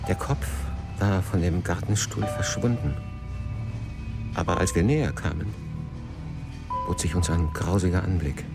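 First-person narrator, writer, low voice, measured literary telling, radio drama, music behind. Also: male voice, creaky. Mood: grave. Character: male first-person narrator, a writer turned would-be marksman. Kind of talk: scripted radio play narration.

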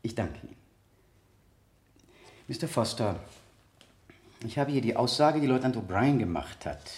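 Actor as insistent examiner in a roomy courtroom sound. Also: male voice, medium pitch, animated, a little mellow, lightly acted. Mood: probing, polite. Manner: deliberate, insistent, formally polite questioning. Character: probing male courtroom examiner, persistent and methodical. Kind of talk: 1970 German radio play acting.

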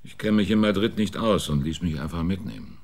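Deadpan private eye, low voice, dry evasive replies, radio play. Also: male voice, a little fast, breathy edge. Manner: dry, evasive, wry answers. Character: laconic, sardonic male private detective. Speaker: private investigator. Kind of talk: radio drama acting.

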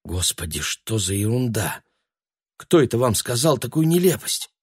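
Audiobook character, man playing young shop woman, raised pitch, indignant, forthright, dry close sound. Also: big pitch swings, normal speed, darkish tone, breathy edge, dry recording. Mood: shocked disbelief. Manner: exasperated, forthright. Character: outspoken, indignant young female shop assistant.

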